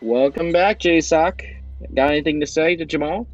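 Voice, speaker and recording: A man hosting an amateur quiz show, upbeat and brisk as he announces questions. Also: fairly high pitch, highs cut off, faint music behind.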